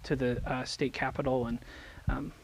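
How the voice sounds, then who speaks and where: A man's voice: mid-range voice, flat delivery, moderate pace, slight background noise, poor audio. A man, a resident minister, giving a casual slide presentation to his congregation, with many fillers and restarts. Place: church meeting room. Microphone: handheld microphone.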